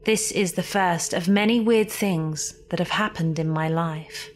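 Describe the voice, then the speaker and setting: A woman reading a scripted ghost story as a horror podcast narrator, theatrical and suspenseful, with deliberate emphasis. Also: on the low side, a little hiss or hum. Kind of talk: scripted dramatic spooky narration. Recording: music behind the voice.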